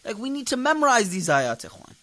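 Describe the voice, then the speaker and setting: A man's voice: extremely high pitch, sing-song, brisk, sharp tone. A man gives an impassioned religious lecture. He speaks as an imam teaching a class, stressing and repeating key phrases and throwing questions out to his listeners.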